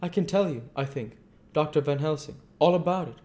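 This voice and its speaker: A man's voice: on the high side, brisk, darkish tone, pitched above the performer's own voice, natural voice. A man voicing a demure, polite young married lady in an audiobook, prim and eager to help. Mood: eager, helpful.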